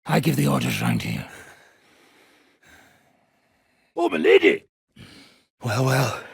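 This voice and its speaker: A man playing a gruff, foul-mouthed, menacing mercenary in a video game, with a gravelly, raspy voice and a coarse, swaggering, sardonic manner. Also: medium pitch, wildly swooping pitch, normal speed, no room sound, studio quality, heavily acted. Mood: gruff, commanding. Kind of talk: video game character acting.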